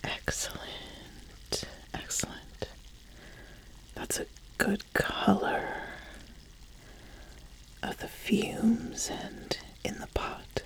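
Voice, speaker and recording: A woman voicing an ambitious, appraising witch in a breathy whisper for a fantasy ASMR roleplay, deliberate and given to repeating phrases. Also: rough recording. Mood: intrigued, approving. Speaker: ASMR host.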